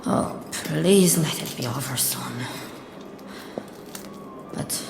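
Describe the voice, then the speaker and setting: A woman's voice: low voice, very slow, creaky, background noise, heavily acted. An actress playing a weary, bitter woman soldier, a lone war survivor talking to herself in a dramatic audio drama. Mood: bitter, brooding. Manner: talking to herself, musing in fragments. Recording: echoey, long reverb, music underneath.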